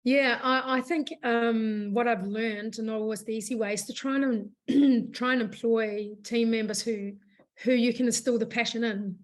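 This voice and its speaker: A woman with a hoarse voice, a business founder chatting in an interview, rambling with many fillers and restarts. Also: on the high side, fairly even intonation, moderate pace. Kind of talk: casual interview chat.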